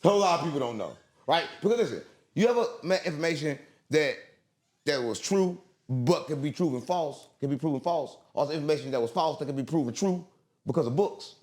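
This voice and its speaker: Guy ranting fast in an interview, voice jumping all over, cussing and repeating lines. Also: a little high, expressive, a little mellow. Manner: emphatic, repetitive, street slang, profane. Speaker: interview guest. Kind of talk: rambling conspiratorial monologue.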